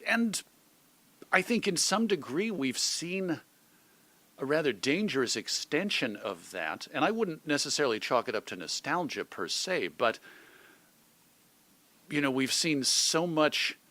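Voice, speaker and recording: A middle-aged man from suburban Chicago giving reflective, measured answers as an interview guest, carefully qualifying his points. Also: on the high side, big pitch swings, bright, forceful tone, no echo. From suburban Chicago, United States. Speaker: podcast guest, magazine editor and radio host.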